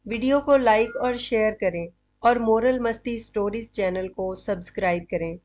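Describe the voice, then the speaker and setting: A woman's voice: on the high side, moderate pace, darkish tone. A woman telling a scripted moral story as narrator of a cartoon.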